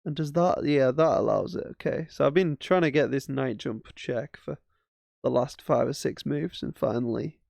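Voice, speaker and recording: A man's voice: medium pitch, normal speed, vocal fry, darkish tone, dry recording. A man casually commentating his own chess games as he plays, thinking aloud with self-mocking asides. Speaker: chess streamer.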